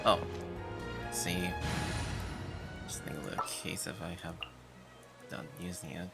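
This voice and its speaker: Halting low-voiced male game streamer. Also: fairly even intonation, gravelly. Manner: halting, mumbly, broken phrasing. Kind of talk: casual livestream game commentary. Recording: game music behind the voice. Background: video game music.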